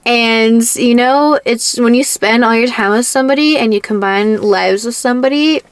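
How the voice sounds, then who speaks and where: A woman's voice: fairly high pitch, moderate pace, smooth, no room sound. A woman in her twenties with a Minnesotan accent, chatting casually as a vlogger. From Minnesota, United States. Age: 20s. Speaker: content creator and vlogger. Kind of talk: casual couple chat.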